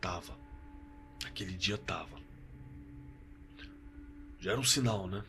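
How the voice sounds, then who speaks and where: A Brazilian man telling a story reflectively, halting and repeating phrases for emphasis. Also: deep voice, sharp tone, a little hiss or hum. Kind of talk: slow reflective storytelling with dramatic pauses. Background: music.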